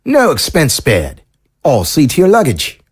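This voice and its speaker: A man playing a courteous official escort attending to guests in an audio drama, with a husky voice. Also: a little high, huge pitch swings, unhurried, full character voice. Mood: deferential.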